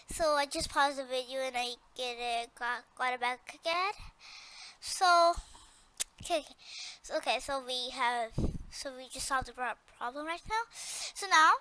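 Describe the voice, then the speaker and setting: A woman presenting an informal step-by-step software tutorial, hesitant and often repeating her instructions as she goes. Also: high voice, clean tone, poor audio.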